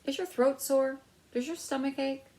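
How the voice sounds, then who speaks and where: A woman's voice: high voice, fairly even intonation, normal speed, darkish tone, pitched above the performer's own voice, natural voice. A woman voicing a caring, concerned mother of a young boy in a storybook reading. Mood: anxious. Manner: concerned, practical.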